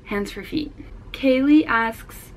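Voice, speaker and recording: A woman's voice: sits high, slow, slight background noise. A Dutch teenage girl with a Dutch accent, answering viewer questions in a casual vlog, frank and blunt, swearing casually. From Netherlands. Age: teens. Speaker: vlogger.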